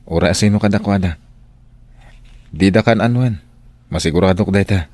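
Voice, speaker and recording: A man's voice: low-pitched, brisk, a little mellow, dry recording. A man playing a dutiful, firm restaurant employee in a radio drama, with a Filipino accent. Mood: firm, guarded.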